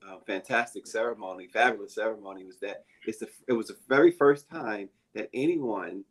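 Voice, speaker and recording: A man's voice: lowish voice, slightly rough. An older man co-hosting a casual morning talk show, chatty and jokey. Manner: chatty, jokey, quick to laugh. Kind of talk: casual panel discussion.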